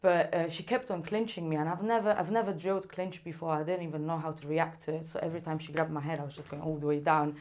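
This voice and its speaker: A woman's voice: low voice, fast talker, hardly any pauses. A young woman from Poland with a Polish accent, chatting casually as an interview guest in a studio and often laughing as she trails off. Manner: relaxed, laughs often, trails off mid-sentence. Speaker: Muay Thai fighter, guest.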